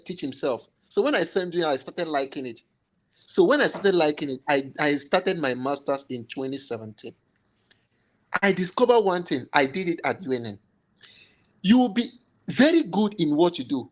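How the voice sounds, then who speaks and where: A man's voice: fairly high pitch, low-quality recording. A man with a Nigerian accent speaking as a guest in a panel discussion, emphatic and often repeating his phrases. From Cameroon, Nigerian parents. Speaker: computational biochemist, panel guest.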